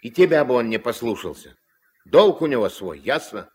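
An actor playing a gruff, terse old riverboat skipper and grandfather in a 1970s Soviet radio drama, giving orders in colloquial speech. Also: sing-song, normal speed, darkish tone. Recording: noisy old mono radio play, engine rumble.

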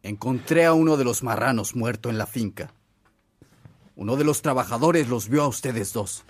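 A man playing a middle-aged, authoritative father and landowner in a radio drama, his voice slightly husky. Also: medium pitch, moderate pace, close to an everyday voice. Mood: stern. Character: stern but charitable Mexican father, landowner. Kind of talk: Spanish-language radio drama acting.

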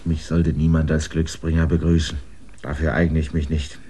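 An actor in a German radio drama playing a stubborn, earnest land surveyor, an outsider in a village. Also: male voice, very deep voice, level tone, moderate pace, warm tone, a little hiss or hum. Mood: brooding. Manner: earnest, insistent, rising to agitation. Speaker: lead character.

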